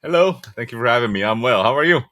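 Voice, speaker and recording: A middle-aged man from Korea, a voice actor guest chatting casually about his path and tastes, animated and often chuckling. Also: medium pitch, quick pace, no echo, studio quality. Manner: animated, chuckling, self-correcting. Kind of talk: casual interview chat.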